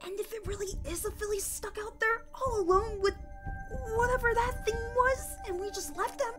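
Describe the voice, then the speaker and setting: A woman playing an anxious, soft-hearted female pony, hushed, urgent and pleading, in a dramatic audio story. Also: extremely high pitch, normal speed, music in the background.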